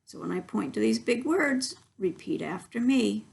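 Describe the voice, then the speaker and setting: A woman's voice: medium pitch, animated, moderate pace, vocal fry, darkish tone, breathy edge. A woman reading a picture book aloud to children as a library story reader, instructive and given to asides to her listeners.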